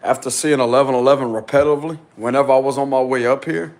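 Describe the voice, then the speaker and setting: A man vlogging casually and insistently from a small rented room, with a deep, loud voice. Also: fast. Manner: excited, insistent, slangy. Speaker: spiritual vlogger. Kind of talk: casual vlog to camera.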